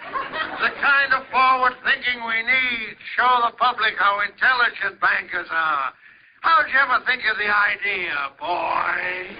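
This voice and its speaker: Brief: a booming older banker, slow and fairly high, old radio sound. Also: male voice, a little hiss or hum. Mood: delighted. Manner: booming, calls everyone boy. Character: hearty older male bank president. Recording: dull lo-fi old radio recording.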